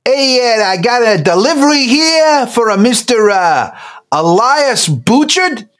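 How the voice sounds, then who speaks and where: A man voicing a brash, blunt delivery man calling out loudly in a tabletop game. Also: very high voice, sing-song, takes it slowly, pitched above the performer's own voice, exaggerated voice. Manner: shouting out, blunt. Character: loud, brash male delivery man, a put-on persona.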